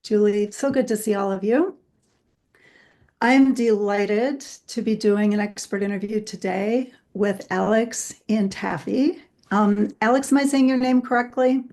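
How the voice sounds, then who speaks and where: A woman therapist hosting an online interview. She talks in a friendly, chatty way with frequent "um" pauses, over a video call. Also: mid-range voice, fairly even intonation, a little mellow. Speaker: host and therapist. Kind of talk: casual webinar introduction.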